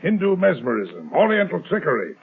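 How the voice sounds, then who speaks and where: An actor playing a ruthless, defiant swindler and would-be murderer, brusque and menacing, in old-time radio drama. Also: male voice, a little high, wildly swooping pitch, fairly quick, vocal fry, husky, faint music behind, exaggerated voice. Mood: defiant, threatening. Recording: muffled narrowband old radio recording.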